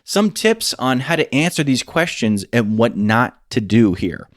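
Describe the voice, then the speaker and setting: A man's voice: mid-range voice, big pitch swings, a little slow, no echo, clean studio sound. A man hosting an advice podcast, explaining in a scripted, instructional way and addressing listeners directly. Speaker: podcast host and business advisor.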